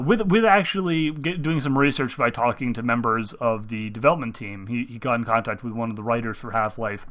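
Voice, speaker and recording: A man's voice: medium pitch, bright, forceful tone. A young man who is sick, talking casually about video game movies, meandering with many fillers and restarts. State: sick, speaking through pain. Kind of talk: casual rambling gaming commentary.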